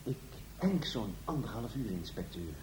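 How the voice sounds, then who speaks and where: A man's voice: on the low side, big pitch swings, normal speed. Een man die in een komisch hoorspel een bange, aarzelende brigadier speelt, onderdanig tegenover zijn inspecteur. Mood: timid, reluctant. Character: reluctant, timid police sergeant, comic sidekick to inspector. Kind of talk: comic radio play acting. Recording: noisy, echoey old radio play recording.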